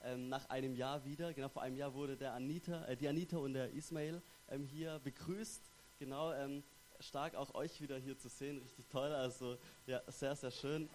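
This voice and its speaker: A man's voice: mid-range voice, a little hiss or hum, rough recording. Young Swabian man preaching to a congregation. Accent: light Swabian. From Stuttgart, Germany. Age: young adult. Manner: friendly, chatty, frequent "genau" and "ähm" fillers. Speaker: theology student preaching. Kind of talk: church sermon with personal introduction.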